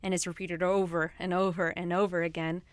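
A woman's voice: fairly low pitch, little pitch movement, fairly quick, clear, resonant tone, dry recording. A woman who grew up speaking Swahili, talking at length in a casual film discussion, outspoken, with many fillers.

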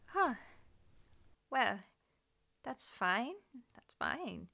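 Chatty lady cop for a radio play, high and bright, nosy and folksy. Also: big pitch swings, extremely slow, vocal fry, heavily acted. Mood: folksy, probing. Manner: folksy, garrulous, colloquial, chuckling. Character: chatty, nosy, feisty Irish-American policewoman neighbor.

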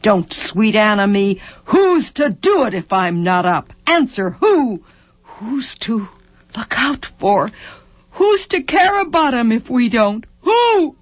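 A woman's voice: deep voice, a little slow, dry recording, highs cut off. A woman voicing a worn-out, anguished mother in a literary story, bitter and defiant, with folksy speech and pointed questions. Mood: furious, desperate.

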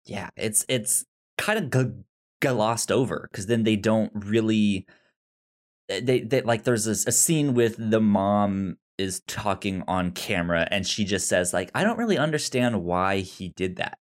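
Low-voiced man in casual film chat. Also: animated. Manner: halting, rambling, many restarts. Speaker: podcast co-host.

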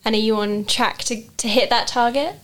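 Woman interviewer with a brightish voice. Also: a little high, steady tone, moderate pace. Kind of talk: radio interview.

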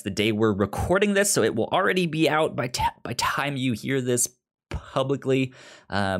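Slow, stammering male podcast host. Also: mid-range voice. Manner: slow, halting, with frequent stutters and restarts. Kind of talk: casual podcast chat.

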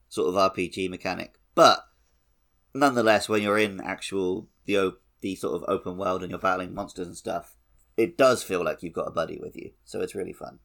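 A man's voice: low-pitched, creaky, fairly bright tone. A man co-hosting a podcast, talking through a video game in an informal, explanatory way. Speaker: podcast co-host. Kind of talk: casual discussion of a video game.

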